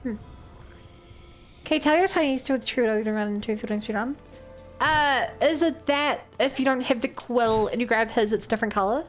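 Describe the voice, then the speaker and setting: A chatty woman with a New Zealand accent, playing a game on stream and thinking aloud as she answers her chat. Her voice sits a little high, with faint game music under it. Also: brisk. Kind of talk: casual gameplay commentary.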